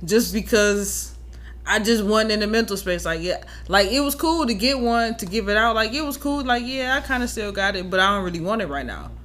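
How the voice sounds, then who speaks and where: A woman's voice: medium pitch, lively intonation, brisk, fairly bright tone. An American woman co-hosting a podcast, chatting casually in a blunt, informal, profane way. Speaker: podcast co-host. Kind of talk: casual chat.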